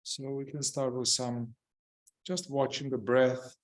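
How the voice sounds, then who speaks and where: Male meditation guide, mid-pitched, very slow and gentle, halting phrases with long pauses. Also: level tone. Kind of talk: guided meditation, improvised spoken instruction.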